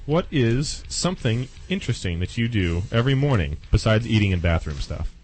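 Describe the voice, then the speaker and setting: A man's voice: mid-range voice, sing-song, fairly quick, heavy background noise. A man co-hosting a show in casual chat, with a playful, jokey manner. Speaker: co-host of a comedy chat show.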